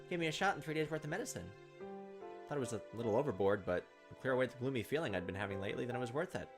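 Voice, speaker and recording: A man reads visual novel text aloud as a let's play host, slipping into casual commentary. His mid-pitched voice runs at a fast pace over game music. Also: lively intonation.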